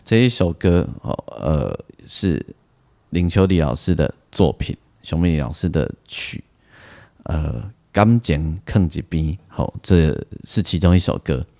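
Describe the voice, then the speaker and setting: A man's voice: a bass voice, lively intonation, unhurried, vocal fry, dark tone. A man with a Taiwanese Mandarin accent hosting a casual solo music show, talking in a rambling way with frequent particles and asides. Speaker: music podcast host.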